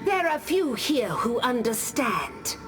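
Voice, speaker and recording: Aloof scholarly warrior spirit, game monologue, high female voice, unhurried and deliberate, music behind. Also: huge pitch swings, heavily acted. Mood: guarded, reflective. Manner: measured, reflective, deliberate storytelling. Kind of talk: scripted game character monologue.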